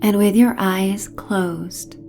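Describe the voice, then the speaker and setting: A woman guides a bedtime visualization at a very slow, hypnotic pace. Her voice is mellow and relaxed, with a medium pitch and gentle phrases that fade toward a breathy whisper. Soft music plays faintly behind her. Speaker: narrator and host of sleep stories. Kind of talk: guided sleep story narration, slow and hypnotic.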